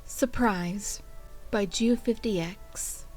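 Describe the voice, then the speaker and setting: Female fan fiction narrator, mid-range pitch, expressive storytelling delivery, music underneath. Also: drawn-out words, low-quality recording.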